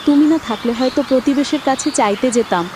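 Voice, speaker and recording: A woman voices a poor village wife in a moral cartoon. Her fairly high voice pleads with worry. Birds and outdoor noise are clear behind her. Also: brisk, lightly acted. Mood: worried pleading. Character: worried, hungry poor wife and mother. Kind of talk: dramatised moral cartoon story. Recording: noisy track with birds and outdoor ambience.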